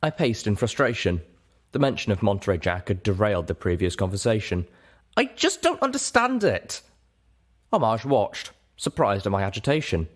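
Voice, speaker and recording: A man's voice: on the low side, expressive, a little fast. A man reading scripted first-person fiction aloud as an audiobook narrator.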